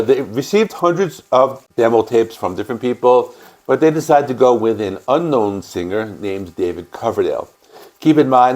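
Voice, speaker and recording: A man with a stuffy cold, hosting a music channel and calmly explaining an album's history in a casual, matter-of-fact way. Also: on the low side. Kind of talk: casual record review and band history.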